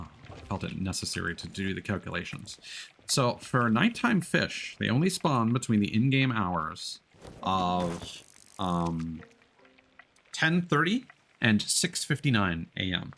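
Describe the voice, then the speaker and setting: A man casually explaining game mechanics on a gaming stream in a halting, rambling way. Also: sits low, gravelly, fairly bright tone, a little hiss or hum.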